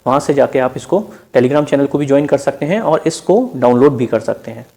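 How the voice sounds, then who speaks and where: A man's voice: medium pitch, brisk, vocal fry, mellow. A man with an Indian accent gives an informal instructional explainer as an exam preparation presenter, addressing listeners as friends and mixing in English terms. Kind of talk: informal explainer narration over on-screen slides.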